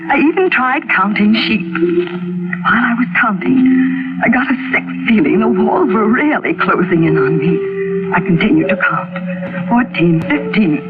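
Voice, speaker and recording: An actress playing a vain, anxious middle-aged wife in an old-time radio mystery, speaking in a dramatic, confiding way. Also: female voice, medium pitch, expressive, unhurried, natural voice. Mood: uneasy. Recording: tinny vintage radio recording with music.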